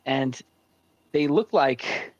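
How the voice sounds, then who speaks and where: A man running a tabletop role-playing game as dungeon master, describing scenes deliberately with pauses between phrases. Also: mid-range voice, expressive, no room sound, highs cut off.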